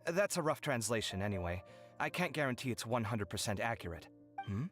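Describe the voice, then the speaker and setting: A man's voice: medium pitch, big pitch swings, brisk, natural voice. An actor playing a dramatic, earnest young man in an anime game, theatrical and articulate. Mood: focused. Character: dramatic young male architect, debt-ridden, earnest daydreamer. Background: game music.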